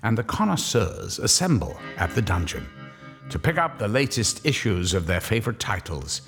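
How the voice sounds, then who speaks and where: A man playing the theatrical, deliberate, ominous narrator of a scripted radio horror story. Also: fairly low pitch, sing-song, gravelly, fairly bright tone, faint music behind, heavily acted. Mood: wry storytelling.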